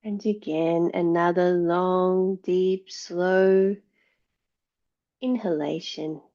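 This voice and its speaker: A woman teaching yoga, leading a guided meditation in a calm, measured, soothing way with long pauses between phrases. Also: lowish voice, highs cut off.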